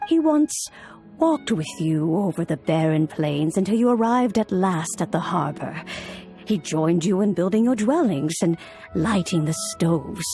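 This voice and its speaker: An actress playing an ageless, wise immortal woman in a video game, telling old tales in a solemn, measured, formal way. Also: lowish voice, expressive, dark tone, soft background music. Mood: solemn, nostalgic. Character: ageless immortal adeptus woman, wise, stately, nostalgic storyteller. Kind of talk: dramatic video game storytelling.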